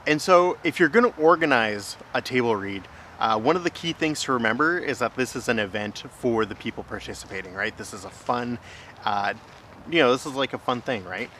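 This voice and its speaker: A man's voice: mid-range voice, lively intonation, brisk, a bit bright, slight background noise. A man hosting a casual vlog, explaining things in a conversational way. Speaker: host, filmmaker.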